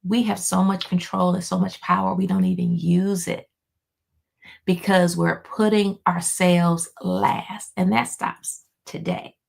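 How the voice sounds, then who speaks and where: A hoarse woman, a life coach, giving an encouraging motivational talk to her viewers, repeating key lines for emphasis and calling them y'all. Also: lowish voice, little pitch movement, a little slow. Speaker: life coach hosting a weekly motivational show. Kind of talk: motivational pep talk to camera.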